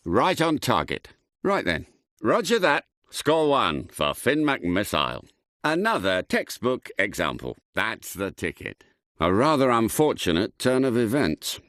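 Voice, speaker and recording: A man voicing a suave, confident British spy car in a video game, polite and clipped, with theatrical gentlemanly delivery. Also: mid-range voice, huge pitch swings, a little slow, no room sound, clean studio sound. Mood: brisk, alert. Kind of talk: scripted game character catchphrases.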